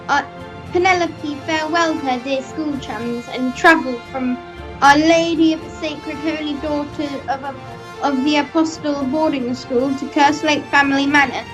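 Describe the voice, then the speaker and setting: A dramatic, foreboding narrator played by a woman reads scripted radio melodrama. Her voice is very high, with music clearly playing behind it. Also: rough recording.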